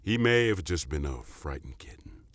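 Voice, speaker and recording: A seasoned, benevolent fantasy statesman, played by a man, speaks in an extremely deep voice with a dry, close sound. He is calm and conciliatory, with gentle amusement. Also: normal speed, no room sound. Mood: placating, fond. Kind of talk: dramatic audio roleplay acting.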